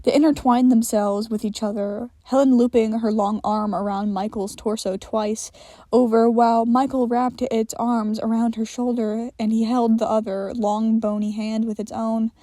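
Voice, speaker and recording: Mellow woman narrating fiction, close and dry. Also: a little high, little pitch movement, normal speed, no echo. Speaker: podfic narrator.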